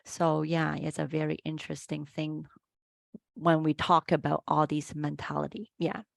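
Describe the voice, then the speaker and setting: A woman's voice: deep voice, flat delivery, moderate pace, vocal fry, no echo. A middle-aged woman from Hong Kong, a life coach hosting a casual interview over a video call, chatty with many fillers and restarts.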